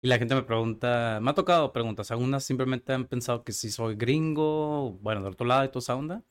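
Young man, relaxed and chatty. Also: mid-range voice, fast, clean tone. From Tijuana, Mexico. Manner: relaxed, chatty, laughs easily, filler-heavy. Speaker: guest. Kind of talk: casual interview chat.

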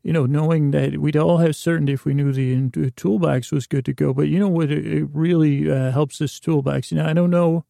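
A man hosting a podcast with a rambling, comedic bedtime monologue, meandering and halting as he restarts sentences and voices imagined dialogue, in a gravelly voice. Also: a little high, fairly even intonation, warm tone, no room sound, studio quality. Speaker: podcast host.

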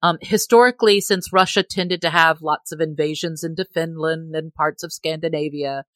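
Bright-voiced woman, dry recording. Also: low-pitched, steady tone, normal speed, no echo. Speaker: podcast co-host. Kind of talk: casual chat.

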